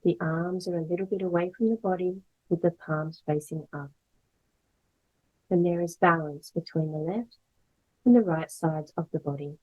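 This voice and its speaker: A woman guiding a meditation as a yoga instructor, speaking in gentle, measured phrases with pauses. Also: fairly low pitch, narrow, tinny audio. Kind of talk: guided meditation, slow soothing instruction.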